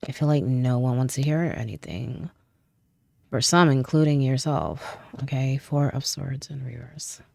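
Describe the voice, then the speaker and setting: A young woman with a very low, gravelly voice and a mellow, dark tone reads tarot in a loose, chatty way. She sounds a little stuffy from a cold. The recording is dry and close. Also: measured pace, dry recording. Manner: chatty, laughs often, repeats "I feel like". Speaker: tarot reader. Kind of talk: casual tarot reading to camera.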